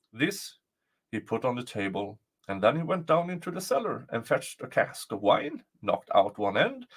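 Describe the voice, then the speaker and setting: A man reading a folktale aloud as a storyteller, with deliberate, dramatic phrasing. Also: medium pitch, breathy edge.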